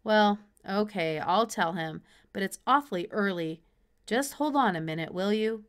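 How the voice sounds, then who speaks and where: A woman's voice: mid-range voice, expressive, normal speed, lightly acted. A woman voicing a sleepy, skeptical stranger answering the telephone in a storybook, with a curt manner.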